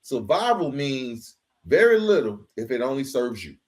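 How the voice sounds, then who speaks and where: A man's voice: medium pitch, sing-song, normal speed. A man hosting a casual interview, speaking familiarly and reflectively and dropping in "bro.". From Atlanta, Georgia, United States. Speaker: podcast host.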